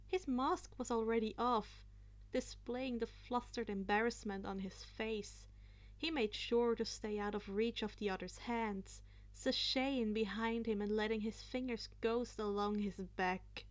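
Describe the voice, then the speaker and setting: A woman narrating fan fiction aloud in an expressive, playful amateur style, now and then stumbling over a word. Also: on the high side, measured pace. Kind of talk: expressive amateur story reading.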